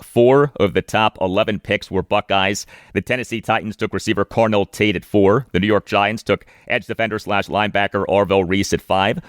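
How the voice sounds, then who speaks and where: An American man hosting a sports interview, reading out stats and posing prepared questions in a deliberate, announcer-like way. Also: low voice, fairly bright tone, no room sound, studio quality. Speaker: sports podcast host, interviewer.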